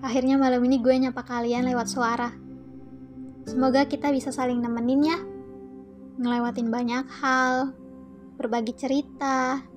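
A woman's voice: high-pitched, flat delivery, normal speed, pauses often, soft background music. A woman hosting a solo podcast in a casual, slangy, playful chat. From Indonesia. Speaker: podcast host.

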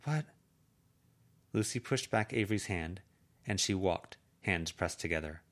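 Calm male narrator, lowish voice, steady fan-audiobook read. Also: normal speed. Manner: calm, even storytelling.

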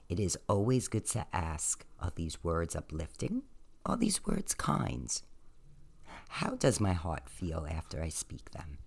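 A man with a low voice full of creak reads aloud from a spiritual text. He takes his time, breaking sentences into short, deliberate phrases. Now and then he steps out of the reading for a casual aside. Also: a little mellow. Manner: slow, deliberate, pausing between short phrases. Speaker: host reading aloud to followers.

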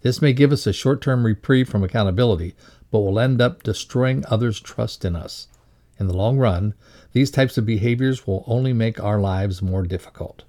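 Mellow, low-voiced man reading a lesson. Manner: measured, instructive read-aloud delivery. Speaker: reader of a values lesson. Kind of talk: scripted educational narration.

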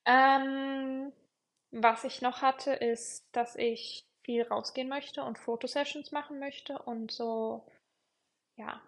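A woman's voice: high voice, fairly even intonation, takes it slowly, a little hiss or hum. A young woman hosting her own podcast, chatting casually and reflectively, with many fillers and repeated words for emphasis. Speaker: podcast host.

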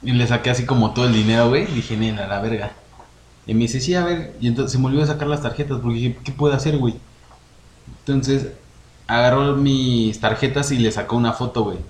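A young man with a Mexican Spanish accent, talking loosely and full of slang, often acting out what people said. His voice sits at an ordinary pitch, and he was recorded in a room with a clear echo and a faint hiss behind him. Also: steady tone, a little fast. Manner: slangy, says "güey" constantly, acts out quotes. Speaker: podcast co-host. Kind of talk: casual chat. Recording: echoey room, faint noise.

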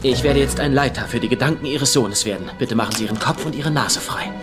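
An actor playing a cocky, playful young fake psychic detective with mock drama in a dubbed comedy crime series. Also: male voice, medium pitch, quick pace, over music, lightly acted. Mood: mock-mystical trance.

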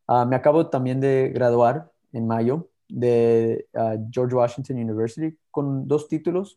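Young man with a mellow voice. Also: medium pitch, gravelly. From born in Ecuador, raised in California. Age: 20s. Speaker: podcast co-host. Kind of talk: scripted podcast intro and casual chat.

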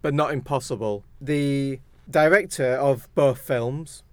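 A man's voice: medium pitch, takes it slowly, no room sound. A man co-hosting a podcast, casually reading out news items and chatting about them. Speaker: podcast co-host. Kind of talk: casual news read-out and chat.

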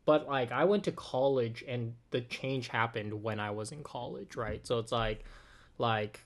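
Young california guy, normal pitch, rambly casual chat, says like a lot, swears a bit. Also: measured pace. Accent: Californian American. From Oakland, California, USA. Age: 20s. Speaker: podcast guest. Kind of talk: casual conversation.

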